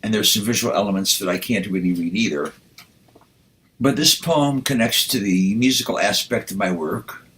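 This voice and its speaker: A man, a poet, speaking and reciting with deliberate, measured phrasing. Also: lowish voice, a bit bright, poor audio. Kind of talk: spoken introduction and poetry recitation.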